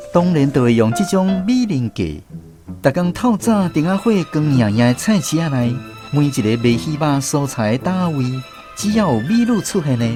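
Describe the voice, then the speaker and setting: A man with a Taiwanese accent narrating a scripted radio drama as its storyteller. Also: on the high side. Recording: music under the voice. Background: music.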